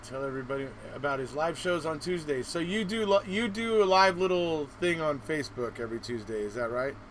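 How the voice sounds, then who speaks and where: A man with a fairly high voice hosts a casual live chat, informal and a little halting as he thinks aloud. Faint noise sits behind his voice. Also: animated. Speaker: live-stream host and interviewer.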